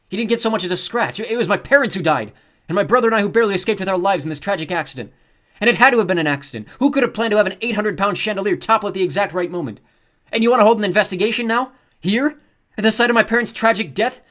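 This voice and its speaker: A man voicing a boy who puts on a pompous, grief-stricken show of authority, loud and indignant. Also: sits high, expressive, racing, pitched above the performer's own voice.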